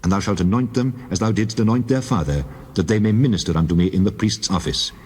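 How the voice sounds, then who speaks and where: An actor voicing God, a deep divine male voice giving solemn, measured commands in a dramatized scripture reading. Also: a little mellow, breathy edge. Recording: long hall reverb.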